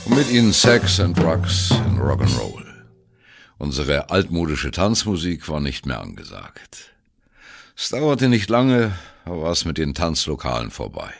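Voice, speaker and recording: A male actor plays a disillusioned older jazzman looking back on his band years. His very low voice crackles with fry and moves in lively swings of pitch. The telling is wry, musing and conversational, as in a first-person radio drama. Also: unhurried, soft background music, heavily acted. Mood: wry reminiscing. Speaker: narrator of an audio play. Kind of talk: first-person reminiscing narration in a radio play.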